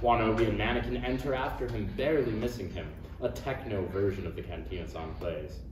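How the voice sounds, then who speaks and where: A man's voice: lowish voice, brisk. A man narrating stage directions from a comedy script at a table read. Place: echoey room table read. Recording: distant, echoey, noisy room recording.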